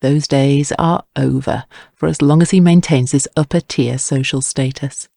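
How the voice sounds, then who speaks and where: Male narrator, scripted crime fiction. Creaky, mid-pitched voice, close dry sound. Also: steady tone, moderate pace, mellow, no room sound. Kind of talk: scripted audio drama narration.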